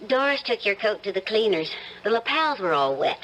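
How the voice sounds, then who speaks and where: Attentive, genteel radio-drama wife. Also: female voice, mid-range voice, sing-song, a little fast, fairly bright tone, lo-fi sound. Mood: chatty, concerned. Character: attentive, chatty wife of a prominent man, gently concerned. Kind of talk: old-time radio drama acting.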